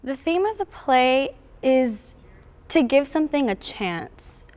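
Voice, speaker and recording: A woman's voice: sits high, sing-song, takes it slowly, a little mellow. A teenage female student actor explaining things casually in an interview. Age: teens, high school student.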